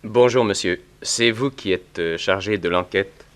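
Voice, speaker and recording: Low-voiced, earnest male witness played by an actor. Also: natural voice. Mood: earnest, helpful. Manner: deliberate, orderly storytelling. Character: earnest, polite male veterinarian giving witness testimony. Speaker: actor in a detective radio serial. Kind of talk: 1960s French radio drama acting.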